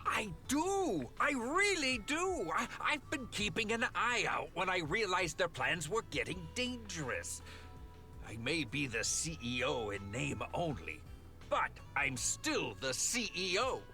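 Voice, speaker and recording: Shrill, flustered figurehead boss. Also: male voice, sing-song, measured pace, bright, forceful tone, heavily acted. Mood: desperate, indignant. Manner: pleading, indignant, over-dramatic. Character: flustered, whiny, earnest figurehead company boss. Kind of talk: anime-style video game voice acting. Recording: music behind the voice. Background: background music.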